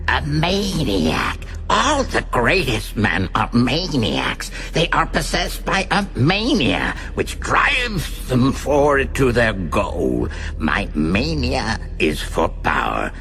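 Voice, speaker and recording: Cold grandiose villain for radio play, really slow n theatrical, sharp edge to the voice, formal menace. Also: male voice, lowish voice, creaky, heavily acted. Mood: grandiose fury. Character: sinister megalomaniac villain, cold, grandiose, self-declared maniac. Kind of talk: broadcast radio drama acting.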